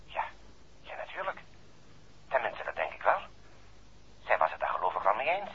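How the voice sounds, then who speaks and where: A man's voice: fairly low pitch, wildly swooping pitch, normal speed, breathy edge, highs cut off, heavily acted. An actor playing an earnest, insistent middle-aged man speaking over the telephone in a 1960s radio play. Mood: hesitant, obliging. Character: earnest middle-aged male family troubleshooter, urgent and deferential.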